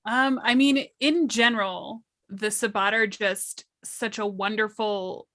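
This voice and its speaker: A woman talking casually as a guest storyteller on a panel, enthusiastic and expressive. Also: medium pitch, takes it slowly.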